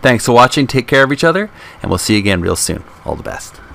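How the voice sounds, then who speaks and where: A man's voice: medium pitch, very animated, quick pace, creaky, a bit bright, a little hiss or hum. A man hosting a channel, reading a book aloud and addressing listeners in a polite, courteous way, sometimes stumbling and correcting himself. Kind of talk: read-aloud narration and channel talk. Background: music.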